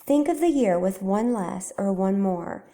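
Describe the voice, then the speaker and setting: A woman voicing a calm, dreamy male field mouse poet in a children's storybook, speaking simply and deliberately. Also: medium pitch. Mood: theatrical, reciting. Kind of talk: children's storybook read-aloud.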